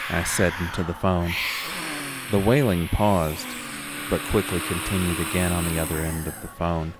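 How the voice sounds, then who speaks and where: A man playing a haunted, weary and uneasy narrator in a scripted horror audio drama told in the first person. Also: sits low.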